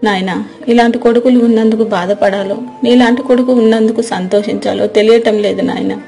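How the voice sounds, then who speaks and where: A woman's voice: on the high side, fairly even intonation, fast, creaky, mellow, faint music behind. A woman voicing a distressed, long-suffering elderly mother who laments, in a moral story. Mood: grieving.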